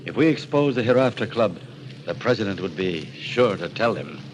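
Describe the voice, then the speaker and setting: An actor playing a resigned, fatherly elderly gentleman with a solemn, theatrical delivery in a 1940s radio mystery. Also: fairly low pitch, animated, moderate pace, close to an everyday voice. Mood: weary resignation. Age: older. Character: weary, resigned elderly gentleman welcoming death. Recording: noisy old radio recording.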